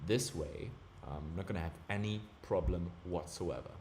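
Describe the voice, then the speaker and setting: Young man, German accent with British and American hints, lowish voice, relaxed and chatty, faint background hiss. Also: moderate pace. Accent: German with British and American traces. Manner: relaxed, thinking aloud, chatty. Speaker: live streamer. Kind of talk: casual live-stream commentary.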